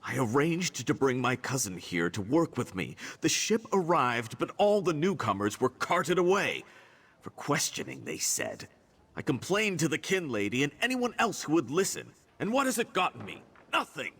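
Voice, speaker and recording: An actor playing a worried, earnest immigrant merchant in a fantasy video game. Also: male voice, on the high side, wildly swooping pitch, normal speed, fairly bright tone, breathy edge, heavily acted. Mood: aggrieved. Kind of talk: video game character dialogue.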